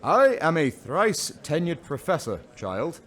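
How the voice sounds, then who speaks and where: An actor playing a pompous, easily offended professor who speaks in an indignant tone. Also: male voice, on the high side, very animated, a little slow, fairly bright tone.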